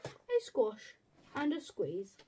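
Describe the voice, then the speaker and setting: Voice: medium pitch, wildly swooping pitch, takes it slowly, dark tone, slight background noise, rough recording. A child reading a picture book aloud as a story, stumbling and correcting along the way. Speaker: story reader.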